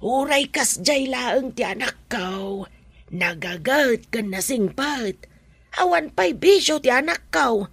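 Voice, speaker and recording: A woman with an Ilocano accent acting as a proud, plain-spoken village mother in a radio drama, her voice raspy. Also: fairly high pitch, animated, slow pace, no room sound, exaggerated voice. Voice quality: raspy, creaky.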